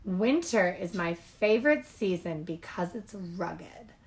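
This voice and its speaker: A woman voicing a rugged, hearty father bear from a children's storybook, with deliberate delivery. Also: on the low side, expressive. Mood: hearty, savoring.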